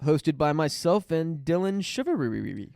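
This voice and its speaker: Higher-voiced man promoting a podcast, very dry. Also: sing-song, measured pace, creaky, darkish tone, no room sound. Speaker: sports podcast host. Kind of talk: casual podcast promo read.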